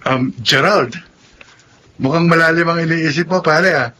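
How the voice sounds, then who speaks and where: Playful, higher-voiced young boyfriend. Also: male voice, normal speed, bright, forceful tone. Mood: friendly, playful. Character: easygoing, amorous young boyfriend and best friend. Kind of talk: Tagalog radio drama acting.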